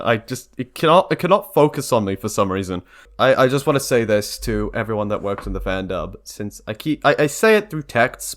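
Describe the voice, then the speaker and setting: A man's voice: mid-range voice, fairly bright tone. A young man giving casual, rambling behind-the-scenes commentary for a video, prone to giggling. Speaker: video creator and fan dub member.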